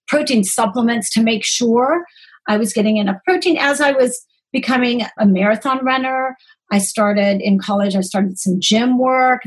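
A woman in her forties with a fairly high voice that is a little husky. She speaks as a guest, telling personal stories in a conversational way. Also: rough recording. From born in Greece, grew up in the United States. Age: 40s. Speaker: podcast guest, holistic nutritionist.

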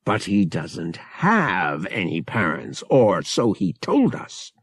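A man's voice: deep voice, wildly swooping pitch, takes it slowly, slightly rough, no echo, studio quality, heavily acted. Man acting an indignant, swooping young brother. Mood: exasperated. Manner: emphatic, argumentative.

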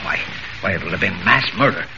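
An actor playing a gruff, sputtering middle-aged newspaper editor in a 1940s radio serial, demanding and repeating his words. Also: deep voice, lively intonation, quick pace. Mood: alarmed. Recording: noisy, muffled vintage radio recording.

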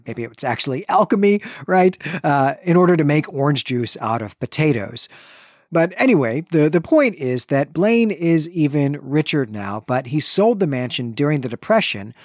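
A man's voice: fairly high pitch, sing-song, no echo, clean studio sound. A man co-hosting a literary podcast, thoughtfully recapping and analyzing chapters of a novel. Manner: thoughtful, measured, with frequent self-corrections. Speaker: podcast co-host.

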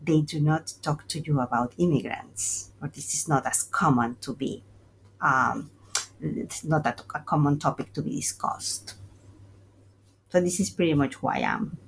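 Low-voiced woman sociologist, chatty. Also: a little slow, creaky, a little hiss or hum, rough recording. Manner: thoughtful, uses fillers like "like" and "um". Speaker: guest sociologist. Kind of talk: conversational interview.

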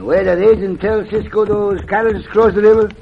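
High-voiced jolly Mexican cowboy sidekick. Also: male voice, level tone, moderate pace, creaky. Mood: curious, easygoing. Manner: broken English, refers to himself by name. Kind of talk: old-time radio western acting. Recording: noisy, muffled old radio recording.

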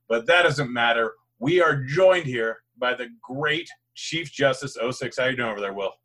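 Male podcast host, bright and forceful tone, upbeat rapid-fire picks talk. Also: medium pitch. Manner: upbeat, rapid-fire sponsor read and game breakdown. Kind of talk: fantasy basketball podcast chat.

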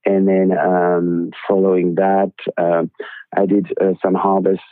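A man with a French accent, a winemaker guest on a podcast, talking in a halting way with many fillers and restarts. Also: low voice, monotone, no echo. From France. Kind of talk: podcast interview. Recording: tinny phone-like line.

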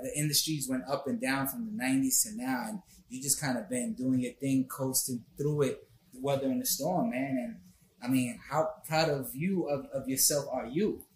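A man's voice: medium pitch, slight background noise. A man hosting a podcast interview, effusive and casual in his address, with a showman's way of introducing things. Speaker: podcast host. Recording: echoey room.

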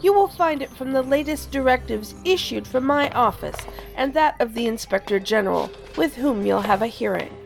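Imperious high-voiced female agency boss. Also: animated, moderate pace, vocal fry, hardly any pauses, faint music behind. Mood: cold, commanding. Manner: cold, commanding, curt. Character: stern, imperious female deputy director of a federal agency. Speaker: actor in a science fiction audio drama. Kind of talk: audio drama acting.

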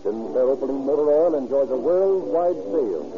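Male, American accent, 1930s radio announcer, formal emphatic salesman delivery, mid-pitched, muffled vintage broadcast sound. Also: lively intonation, a little fast, over music. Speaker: radio announcer and program host.

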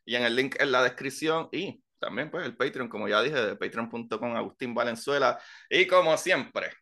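A man's voice: medium pitch, lively intonation, normal speed, sharp tone. A young adult man with a Puerto Rican accent, hosting a podcast in laid-back casual chat, laughing often and slipping in English words. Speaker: podcast host.

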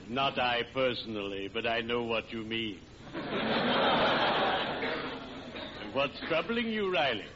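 An actor in an old-time radio sitcom playing a courtly, gleefully morbid friendly undertaker, with a formal, theatrically solemn delivery. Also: male voice, medium pitch, lively intonation, moderate pace, natural voice. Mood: sly sympathy. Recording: muffled, noisy vintage radio with audience.

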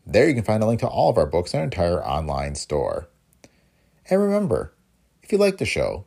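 A man hosting a podcast, reading its introduction in a steady announcer's delivery. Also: deep voice, vocal fry. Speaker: podcast host.

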